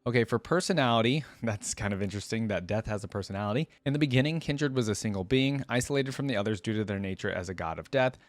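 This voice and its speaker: A man's voice: lowish voice, lively intonation, fast talker, few pauses, no room sound. A man giving casual reaction commentary, reading lore aloud and adding curious, informal asides as he goes. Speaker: reaction video creator.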